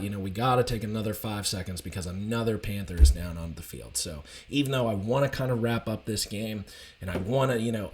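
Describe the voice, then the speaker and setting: A man with a slightly raspy, hoarse voice, giving an enthusiastic, rambling casual recap of a college football game. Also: fairly low pitch. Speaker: college football fan commentator.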